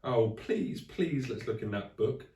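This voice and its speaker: A man voicing an earnest, impatient little girl in a high, urgent storybook voice. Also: animated, unhurried, warm tone, distant mic, natural voice. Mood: urgent, pleading. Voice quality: high-pitched, childlike. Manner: urgent, exclamatory. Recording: echoey room, away from mic.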